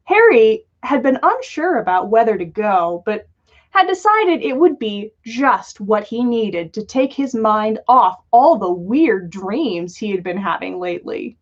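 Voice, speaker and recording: A woman's voice: a little high, big pitch swings, measured pace. Female story reader, upper-register voice, narrating fan fiction for a livestream, somewhat dull lo-fi recording. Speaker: podcast host reading fan fiction aloud. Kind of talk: animated story reading on a livestream.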